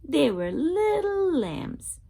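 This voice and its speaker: Brief: female children's read-aloud host, very slow delivery with lively, expressive intonation. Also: sits high, vocal fry. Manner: expressive, asks listeners questions.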